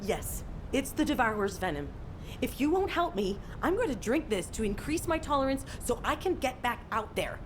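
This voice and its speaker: A woman's voice: fairly high pitch, very animated, normal speed, background noise. A woman acting as a stubborn, self-sacrificing young fighter in a video game, serious and resolute. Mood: grim resolve.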